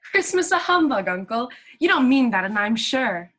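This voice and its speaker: A cheerful, warm-hearted young relative played by a woman in a radio play. The voice is high, ringing and lively, swooping with exuberant teasing, over faint noise and clicks. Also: slow pace, husky, full character voice. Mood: merry, teasing. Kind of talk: amateur radio play acting.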